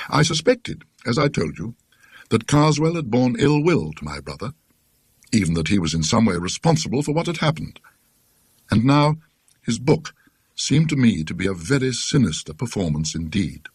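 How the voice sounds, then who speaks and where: An earnest, donnish English gentleman played by a male narrator from a gothic ghost story. His husky voice rises and falls widely, suspicious and emphatic, in a dry close recording. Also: fairly low pitch, dry recording, exaggerated voice. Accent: British.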